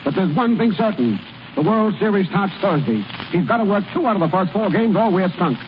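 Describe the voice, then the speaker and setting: Earnest high-voiced baseball boss. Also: male voice, sing-song, fairly quick. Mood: worried. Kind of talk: 1930s radio drama acting. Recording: muffled, noisy vintage radio broadcast.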